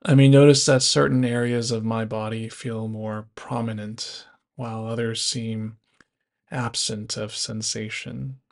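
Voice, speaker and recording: Slow, even-toned male meditation guide. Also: on the low side, creaky. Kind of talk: guided body scan meditation narration.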